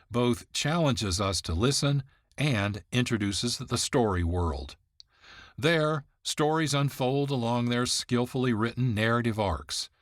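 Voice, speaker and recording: A man's voice: mid-range voice, no echo, clean studio sound. Man hosting a radio program. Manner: measured, informative, reads from a script. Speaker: producer and host. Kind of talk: scripted radio narration.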